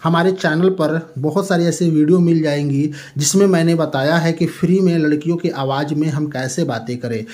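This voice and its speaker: A man's voice: a little high, darkish tone. A man hosting a tech tutorial, explaining an app step by step in a repetitive, instructional way.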